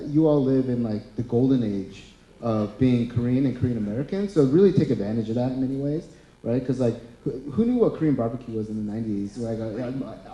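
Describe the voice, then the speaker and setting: A man in his thirties moderating a panel discussion, posing questions in an easy, friendly, conversational way. His voice is deeply mellow and dark. The room adds a roomy echo. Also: lo-fi sound. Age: 30s. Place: lecture hall.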